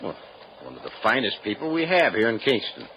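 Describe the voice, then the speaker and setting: A man's voice: fairly low pitch, wildly swooping pitch, a little fast, slight background noise. An actor playing a folksy, easygoing small-town male police lieutenant in an old radio drama, on a dull lo-fi broadcast. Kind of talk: 1950s radio drama acting.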